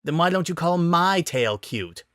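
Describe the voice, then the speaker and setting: A man's voice: fairly high pitch, expressive, a little slow, fairly bright tone, no echo, studio quality, pitched above the performer's own voice. A man voicing an awkward, boyish young prince with a self-conscious manner in a romantic comedy story reading. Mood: petulant. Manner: flustered, sheepish, self-conscious. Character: awkward young prince, flustered, touchy-feely and boyishly petulant. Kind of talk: dramatic reading of romantic comedy fan fiction.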